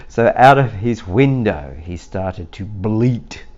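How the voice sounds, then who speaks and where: A low-voiced man reads a children's story aloud with theatrical playfulness. He lingers over the phrases and varies his pitch freely. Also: lively intonation, very slow, a little mellow, muffled recording. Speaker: storybook reader.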